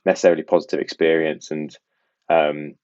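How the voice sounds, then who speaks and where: A man's voice: a bass voice, level tone, a little fast, darkish tone, no echo, highs cut off. A young man who grew up in Belgium, with an international English accent carrying faint West Midlands traces, chatting casually and self-deprecatingly as a podcast guest.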